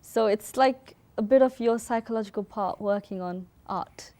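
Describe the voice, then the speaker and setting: A woman's voice: on the high side, moderate pace, mellow. Informal woman from Sri Lanka, being interviewed. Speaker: interview guest, artist and photographer. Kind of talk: casual interview answers.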